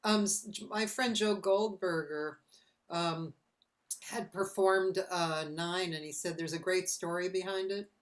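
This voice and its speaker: A woman's voice: on the low side, steady tone. Enthusiastic woman hosting a chat. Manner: enthusiastic, quick to react with "wow". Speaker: host. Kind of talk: casual interview chat.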